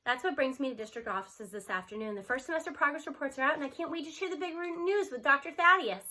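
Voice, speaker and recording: A woman narrating a storybook aloud in an expressive first-person read-aloud style. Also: sits high, quick pace.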